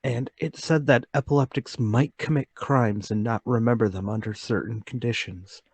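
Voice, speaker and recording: A man playing an earnest, troubled but determined accused man in a radio play table read, speaking deliberately. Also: mid-range voice, a little mellow, breathy edge, no room sound.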